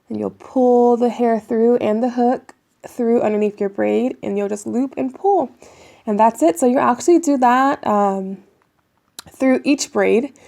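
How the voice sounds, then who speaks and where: A young woman with a raspy voice from a cold, chatting casually to viewers while demonstrating a hair tutorial at home. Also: medium pitch, darkish tone. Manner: chatty, addresses viewers as you guys, many ums. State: raspy voice getting over a cold. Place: bedroom at home, indoors.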